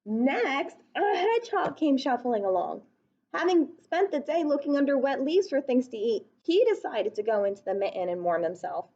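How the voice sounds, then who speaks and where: A woman's voice: sits high, big pitch swings, normal speed, darkish tone, muffled recording. A woman reading a picture book aloud to young children, speaking expressively and putting questions to her listeners.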